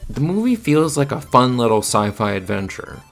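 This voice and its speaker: A man's voice: medium pitch, sing-song, moderate pace, gravelly, fairly bright tone, faint music behind. A man narrating a scripted but conversational film review in an opinionated, matter-of-fact manner. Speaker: video essayist and film reviewer.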